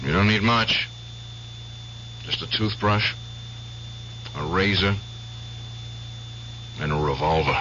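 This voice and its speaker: An actor playing a grim, distrustful veteran in an old-time radio drama, curt and suspicious. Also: male voice, low voice, slow, pauses often, very noisy. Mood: cold, suspicious. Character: grim, distrustful male veteran bent on keeping a promise. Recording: dull, humming old radio recording.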